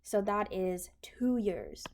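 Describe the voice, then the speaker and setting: A woman's voice: medium pitch, very animated, takes it slowly, darkish tone. A woman instructor explaining accounting in an informal, step-by-step tutorial style. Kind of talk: explanatory tutorial over handwritten notes. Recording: clearly noisy recording with clicks.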